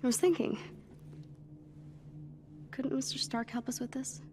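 A high, youthful female voice playing a determined teenage girl in a game cutscene. She sounds earnest and pleading, with faint ambient noise behind her. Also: a little slow, mellow. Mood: earnest, hopeful. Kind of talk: video game cutscene acting.

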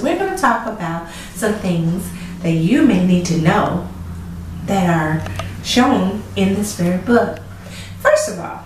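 Animated storytime woman in an echoey room. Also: on the low side. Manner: animated, emphatic, asks the listener questions. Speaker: storytime host. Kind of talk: children's storytelling and moral lesson. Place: small indoor room with chalkboard set. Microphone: camera mic a few feet away. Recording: echoey room, distant camera mic, faint noise.

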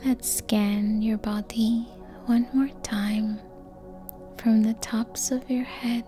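Female meditation guide, extremely unhurried, soft and mellow, breathy near-whisper, gentle and calm. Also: a little high, faint music behind. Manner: calm, gentle, long pauses between short phrases. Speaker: yoga nidra meditation guide. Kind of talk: slow guided sleep meditation.

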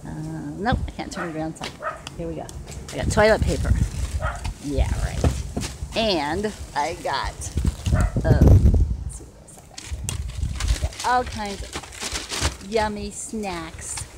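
A woman's voice: on the low side, expressive, takes it slowly, long pauses, very noisy. An older woman chatting casually as she unpacks her shopping bags at home, rambling and cooing at her dogs. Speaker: vlogger. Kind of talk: casual haul vlog. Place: home porch and carpeted room.

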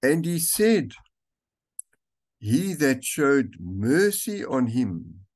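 An older man, a pastor, reading scripture aloud and preaching a sermon slowly and deliberately from a room at home. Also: mid-range voice, big pitch swings, darkish tone. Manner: slow, deliberate reading with stretched words. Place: home room with curtains, indoors.

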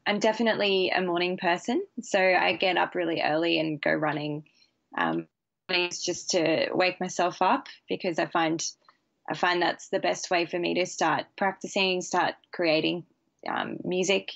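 A young woman, a bassist chatting casually as a podcast guest in a relaxed, easy way. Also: normal speed, gravelly, lo-fi sound. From Australia. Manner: relaxed, chatty, laughs easily. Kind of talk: casual interview chat.

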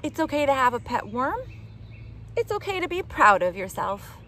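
Woman, middle-aged, children's picture-book reader, lively swooping intonation, speaking straight to kids, light outdoor background noise. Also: high voice, normal speed. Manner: animated, addressing young children directly. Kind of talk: expressive picture-book read-aloud for children. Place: outdoors in leafy woods.

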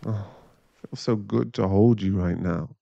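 A man's voice: lowish voice, warm tone, dry recording. Casting: male actor as anxious, caring werewolf boyfriend for ASMR audio; close, dry, slightly dull recording, soothing and rambling. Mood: shaken, rambling. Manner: halting, rambling, soothing, breathy sighs.

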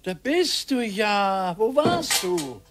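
Radio drama: a peevish old retired husband, high and up-and-down in pitch, slow, self-pitying. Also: male voice, sing-song, background noise, heavily acted. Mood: grumbling. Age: older. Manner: grumbling, self-pitying, whiny. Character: grumpy bored retired husband, older.

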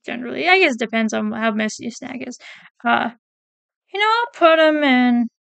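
High, gravelly-voiced woman chatting casually. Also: animated, a little fast, a bit bright, no echo. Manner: chatty, hesitant, self-correcting, reads quotes aloud. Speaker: PNGtuber ranking game characters. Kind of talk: casual commentary while reading a wiki.

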